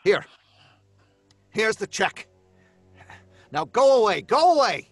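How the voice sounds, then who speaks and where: A cornered, anxious writer in old-time radio style. His voice sits fairly high, and he comes across as stubborn yet flustered and hesitant. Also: sing-song, takes it slowly, dry recording, faint music behind, heavily acted. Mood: defiant, flustered.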